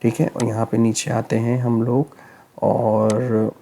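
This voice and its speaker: Hoarse, gravelly man explaining a tutorial. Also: mid-range voice, slow pace. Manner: relaxed step-by-step explaining with frequent check-ins. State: hoarse, sore throat. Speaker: tech tutorial host. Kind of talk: screen-recorded tutorial narration.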